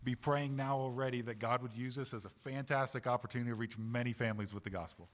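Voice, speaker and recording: A man playing a swaggering Australian adventurer with a pet koala, in a put-on Australian accent, pitching an event to a church crowd. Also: fairly even intonation, quick pace, close to an everyday voice. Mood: upbeat. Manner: hearty, upbeat, pitching to kids. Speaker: Vacation Bible School promoter. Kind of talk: costumed church announcement.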